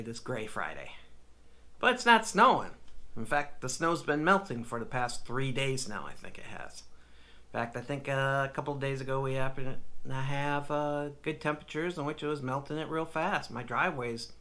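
A man's voice: mid-range voice, pushed and bright. A man filming a casual unboxing vlog in his home office, talking in a rambling, chatty way and often correcting himself. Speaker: motorcycle vlogger.

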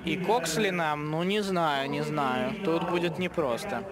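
A man giving live sports commentary in a relaxed, conversational way, often pausing to think aloud. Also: fairly high pitch, a loud crowd.

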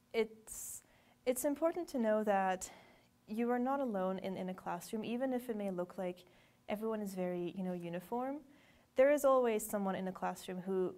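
A woman's voice: medium pitch, darkish tone. A woman in her twenties from Belgium, speaking an earnest personal message to the camera in an empty lecture hall, with many fillers and restarts. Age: 20s. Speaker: university graduate sharing a message. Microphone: camera framed close, seated.

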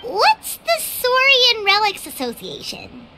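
A woman voicing a tiny, perky, childlike fairy companion in a game, eager and chatty, with a squeaky voice. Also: wildly swooping pitch, slow pace, very noisy, exaggerated voice. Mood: curious. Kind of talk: English game voice acting. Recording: loud game music under voice.

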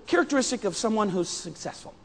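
A man's voice: sits high, expressive, fast, fairly bright tone, a little hiss or hum. A middle-aged American man giving an interactive keynote speech, projecting emphatically to his audience. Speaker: motivational keynote speaker. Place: stage in a large indoor conference hall. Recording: reverberant large hall.

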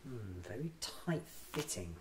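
Eccentric male time traveller acted by a man, ASMR roleplay, playful musing, lively pitch, soft delivery, noisy background. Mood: whimsical, musing. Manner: whimsical, musing aloud, unhurried.

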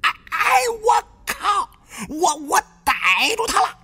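Stuttering brash tomb raider, high-pitched. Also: male voice, huge pitch swings, slow pace, pushed and bright, heavily acted. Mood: excited shouting. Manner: heavy stutter, repeating syllables. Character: stammering tough tomb-raider man, brash and foul-mouthed. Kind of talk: audiobook character acting.

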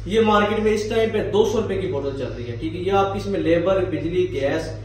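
A man in his twenties with a Pakistani accent, explaining informally as he hosts a product demonstration and sales pitch, often adding check-in tags. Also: lively intonation, fairly quick. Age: 20s. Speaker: business video host demonstrating machinery. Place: small tiled indoor factory room. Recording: echoey tiled room, distant mic, faint noise.

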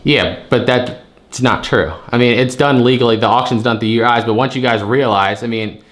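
A man's voice: mid-range voice, normal speed. A man, a storage unit buyer arguing his case, frustrated and rambling, repeating himself as he pushes his point. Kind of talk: heated phone argument.

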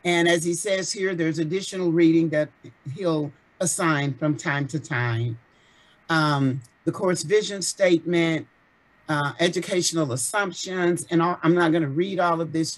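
Woman, practical step-by-step explainer, fairly low voice for a woman, video call. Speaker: course administrator. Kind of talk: walking through a syllabus on screen.

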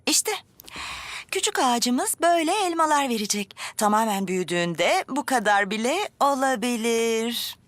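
A woman's voice: fairly high pitch, expressive, moderate pace, fairly bright tone. An actress voicing a cheerful, patient mother in a dubbed cartoon, explaining things kindly to her child. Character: warm patient young mother. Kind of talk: cartoon dubbing.

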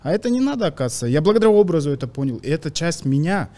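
Young guy from kazakhstan on a podcast mic, bit high voice, loud then quiet, all gestures. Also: racing. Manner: animated storytelling with hand gestures, swings between loud bursts and quiet asides. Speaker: comedian guest. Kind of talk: casual podcast chat. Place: podcast studio, indoors. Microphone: studio mic with headphones.

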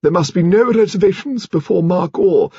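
A man's voice: high voice, moderate pace, mellow, husky, no room sound, lo-fi sound, pitched above the performer's own voice. A man voicing a haughty, commanding old aristocratic lady who speaks with firm authority. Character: imperious stout elderly aristocratic lady.

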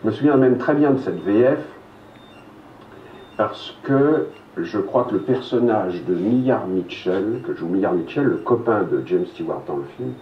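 Man, low voice, reminiscing interviewee, thoughtful and halting, distant echoey dull recording. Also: animated, slight background noise. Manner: thoughtful, pauses with fillers. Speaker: film enthusiast recalling memories. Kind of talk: interview recollection.

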